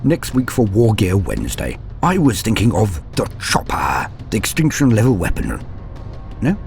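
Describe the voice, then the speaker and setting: Comic skit: man as hesitant, placating loremaster on a call, muttering, low-pitched with big swings. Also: huge pitch swings, normal speed, creaky, darkish tone, faint music behind, heavily acted. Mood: anxious, placating. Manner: hesitant, stammering, muttering, theatrical. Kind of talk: comic one-sided phone skit.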